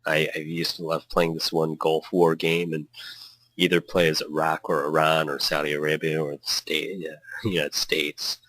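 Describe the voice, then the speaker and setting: A man with a notably low voice works as a let's player, moving between story narration and loose, casual commentary. He tends to ramble. The sound is dry and close, with very little room in it. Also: monotone, moderate pace, a bit bright, dry recording. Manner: hyped, tangent-prone. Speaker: let's player reading a visual novel aloud.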